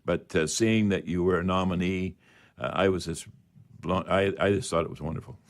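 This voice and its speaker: A man's voice: sits low, steady tone, moderate pace. A man hosting a casual live radio show, talking in a folksy, rambling way.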